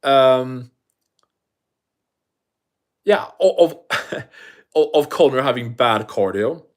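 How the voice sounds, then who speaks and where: Man, thick foreign accent, livestream host, loose rambling talk, lots of swearing, mid pitch. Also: expressive, slow pace. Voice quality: thick non-native English accent. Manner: rambling, profane, restarts often. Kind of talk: casual livestream chat, answering viewer comments.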